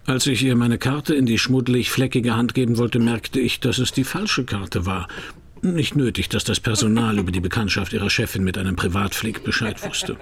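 An actor playing a laconic middle-aged private detective in a radio crime drama, with a dry, understated manner and a gravelly voice. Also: male voice, medium pitch, moderate pace, a crowd in the background, natural voice. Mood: wry narrating. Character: wry, laconic French private detective, middle-aged man, narrating his case. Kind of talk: 1990s radio crime drama, first-person narration and dialogue.

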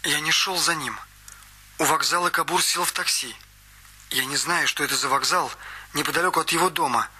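An eager young witness. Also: male voice, a little high, brisk, bright, forceful tone, husky, exaggerated voice. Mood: earnest. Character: eager young male witness recounting events. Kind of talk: radio play acting. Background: music.

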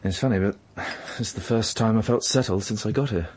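A man's voice: fairly low pitch, a little fast, a little mellow, close to an everyday voice. An actor playing a self-assured former diplomat in a radio drama, direct and prickly in his questioning. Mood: settled, brisk.

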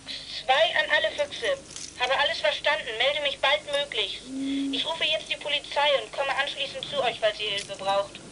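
An actress playing an eager, dependable child detective, clipped and businesslike over a walkie-talkie in an audio drama. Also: female voice, high-pitched, normal speed, background noise.

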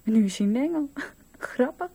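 A woman's voice: on the high side, animated, normal speed, vocal fry, mellow, husky, full character voice. An actress playing a naive, candid little girl in a radio drama, speaking in an artless, childlike way. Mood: curious.

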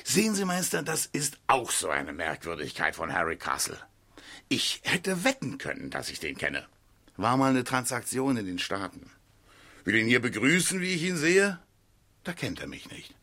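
A man's voice: mid-range voice, huge pitch swings, normal speed, sharp tone, heavily acted. An actor playing an effusive, gossipy American businessman and eager crime-novel fan in a 1970s German radio drama, colloquial and insinuating.

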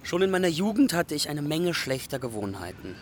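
A man's voice: a little high, big pitch swings, brisk, slight background noise. A man playing a wry, self-mocking young refugee who tells his life in a confessional radio drama monologue.

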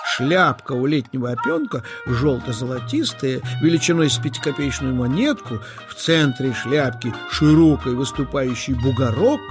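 A man narrating scripted nature tales for children in an expressive, lyrical storytelling manner. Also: a little high.

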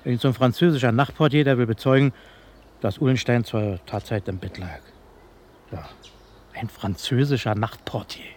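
A man's voice: mid-range voice, normal speed, a little mellow, slight background noise, natural voice. An actor playing a scornful, grumbling male police investigator in a radio drama, speaking colloquially and emphatically. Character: indignant, sarcastic male police investigator grumbling about a case. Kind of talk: German radio drama acting.

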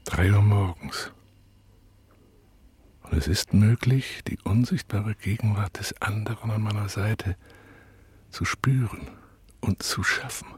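An actor playing a tortured, numb prisoner in a radio play, speaking a halting, brooding inner monologue in a breathy, gravelly voice. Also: male voice, fairly low pitch, a little mellow, no room sound, exaggerated voice. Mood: haunted. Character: tortured male prisoner in a cell, numb, detached, bitterly weary.

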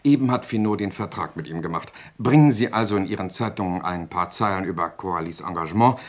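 Radio play: male actor as sardonic press intriguer, very low voice, commanding delivery. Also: fast, natural voice. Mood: brisk, scheming. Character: brisk, cynical, scheming male newspaperman. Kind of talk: German radio play acting.

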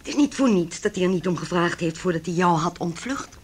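A woman's voice: on the low side, expressive, a little fast, darkish tone, husky. An actress playing a cold, wealthy middle-aged mother in a Dutch radio play, speaking in a formal, condescending, cutting way. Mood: scornful, firm. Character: haughty, cold, wealthy mother opposing her son's marriage.

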